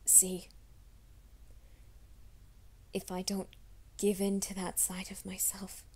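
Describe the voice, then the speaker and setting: A woman voicing a gentle, nervous young male werewolf in an ASMR roleplay, speaking softly and often in whispers. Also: mid-range voice, monotone, takes it slowly, slightly rough. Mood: soft reassuring. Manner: soft, hushed, often whispering.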